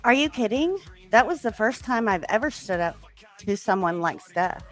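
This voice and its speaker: A woman plays a curious, admiring teenage girl in a script reading. The voice sits fairly high, with faint music behind it. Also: animated, normal speed, close to an everyday voice. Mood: earnest.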